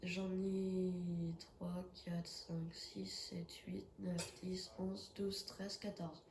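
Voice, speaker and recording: A woman's voice: fairly low pitch, level tone, extremely slow, a little mellow, roomy sound, slight background noise. A young woman with a cold, chatting casually and reacting with jumpy exclamations as she plays a horror game. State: has a cold. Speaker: gaming streamer. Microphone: phone.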